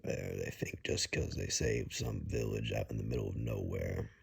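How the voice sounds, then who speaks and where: A man playing a wary, blunt woodsman guide in a hushed ASMR roleplay, speaking in a husky, halting, matter-of-fact near-whisper. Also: extremely low pitch, fairly even intonation, exaggerated voice. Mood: grim, matter-of-fact. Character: wary, blunt male woodsman guide who knows monsters.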